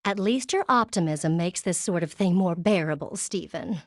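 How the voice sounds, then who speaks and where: A woman's voice: lowish voice, normal speed, no echo, clean studio sound. A woman playing a prim, fretful, motherly alien gem in a cartoon game, with a precise, dryly exasperated manner. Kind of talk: animated cartoon game voice acting.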